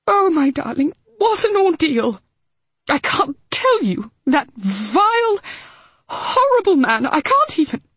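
A woman's voice: high-pitched, moderate pace, few pauses, dry recording, pitched above the performer's own voice, natural voice. A woman voicing Mitzi, an emotional upper-class woman who gushes and breaks into tears, in an audiobook. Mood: distressed. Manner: gushing, tearful.

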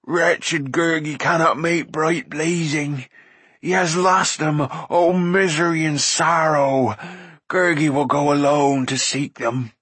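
Wailing, whimpering shaggy creature. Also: male voice, on the high side, steady tone, slow, fairly bright tone, slightly rough, dry recording, voice pushed up, exaggerated voice. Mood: wretched, moaning. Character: shaggy, whimpering, miserable creature speaking of himself in third person.

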